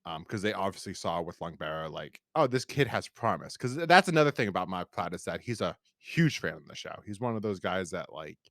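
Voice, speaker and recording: A man's voice: sits low, very animated, fairly quick, hardly any pauses, a bit bright, no echo, studio quality. An American man hosting a podcast, talking casually and enthusiastically in a rambling fan-review style. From United States. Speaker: podcast host.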